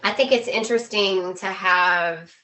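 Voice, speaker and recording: A woman's voice: mid-range voice, measured pace, pushed and bright. A woman in casual conversation as a podcast guest, reflective and often rephrasing her points.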